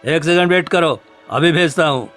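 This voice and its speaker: A man's voice: fairly high pitch, a bit bright, faint music behind, natural voice. A man voicing a smug, scheming blackmailing neighbour in a cartoon story, with a taunting, theatrical manner. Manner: taunting, drawn-out, theatrical menace. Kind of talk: Hindi cartoon story dubbing.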